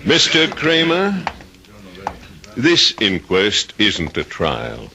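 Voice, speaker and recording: An actor playing a formal, authoritative coroner in a radio drama, official and deliberate. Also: male voice, fairly low pitch, huge pitch swings, bright, forceful tone, a little hiss or hum, full character voice. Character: formal, authoritative coroner presiding over an inquest. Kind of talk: old-time radio drama acting.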